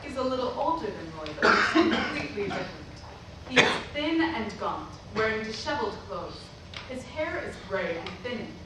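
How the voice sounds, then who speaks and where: A woman's voice: a little high, very animated, normal speed. Woman reading stage directions, very noisy recording. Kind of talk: scripted narration. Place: staged reading filmed in a roomy venue. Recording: echoey room, far mic, loud noise.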